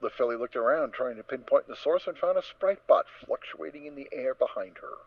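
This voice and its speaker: A man's voice: medium pitch, lively intonation, brisk, no echo. Male narrator for audio story: dramatic, very varied intonation, dry, slightly muffled recording.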